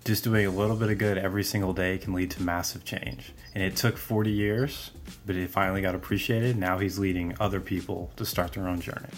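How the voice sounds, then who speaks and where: A man hosting a podcast, energetic and promotional, moving the show along. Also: sits low, fairly quick. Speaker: podcast host.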